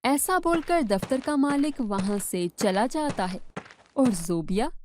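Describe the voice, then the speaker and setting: A woman's voice: on the high side, animated, a little slow, darkish tone, a little hiss or hum. A woman reading scripted storybook narration as the narrator.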